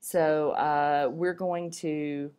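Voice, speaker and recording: A woman with a fairly low voice reads aloud as if from a storybook. Her delivery is expressive, with a small stumble now and then. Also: extremely slow, clean tone. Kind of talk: reading a story and poem aloud.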